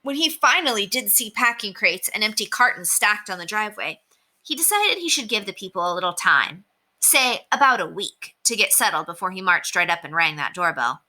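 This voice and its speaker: A woman teacher reading a children's chapter book aloud in an expressive storytelling manner. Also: mid-range voice, a little fast, poor audio. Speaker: elementary teacher.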